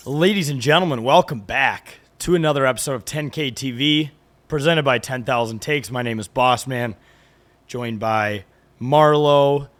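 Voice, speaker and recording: A man's voice: mid-range voice, a bit bright. A man hosting a sports podcast, brash and joking, swearing freely in casual chat. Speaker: podcast host.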